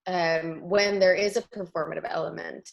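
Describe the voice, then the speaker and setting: Creaky-voiced woman interviewer. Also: on the low side, fairly even intonation, moderate pace, dull audio. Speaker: interviewer, choreographer and journalist. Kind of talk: measured interview questioning. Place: Tel Aviv.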